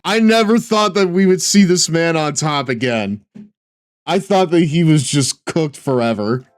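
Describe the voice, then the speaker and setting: A man chatting casually with his chat while playing a wrestling game on stream, laid-back and drawling, and given to sudden excited shouts. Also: on the high side, measured pace, soft background music.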